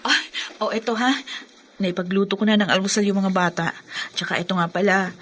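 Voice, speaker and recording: A woman's voice: medium pitch, fairly even intonation, brisk, fairly bright tone, close to an everyday voice. An actress playing an earnest, self-sacrificing Filipino working wife and mother in a radio drama, speaking politely and deferentially. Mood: hurried, reassuring.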